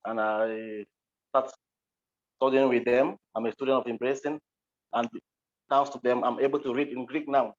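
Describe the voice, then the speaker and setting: A man's voice: mid-range voice, level tone, moderate pace, pauses often. A man from Cameroon with a Cameroonian accent, recounting personal experience plainly and a little hesitantly as a guest on an online call. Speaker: interview guest, interpreter. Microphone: video call. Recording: muffled, dull online call audio.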